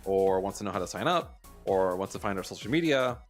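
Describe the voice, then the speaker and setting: Co-host guy talking fast, lowish voice, eager, bit of music behind. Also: lively intonation. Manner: quick, eager, loose phrasing. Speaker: livestream co-host. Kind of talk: casual livestream chat.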